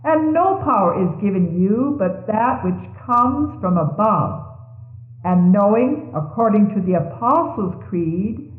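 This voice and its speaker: A woman reading religious messages aloud in a solemn, measured and formal manner, on a 1970s tape. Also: mid-range voice, lively intonation. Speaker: religious reader. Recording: 1970s tape, thin phone-like band, echoey room.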